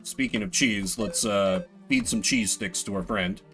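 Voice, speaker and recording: A man's voice: fairly low pitch, unhurried, fairly bright tone, soft background music. A man giving casual, rambling commentary as he streams a video game, with playful mock-grandiose asides. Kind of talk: casual gameplay commentary, voicing game text.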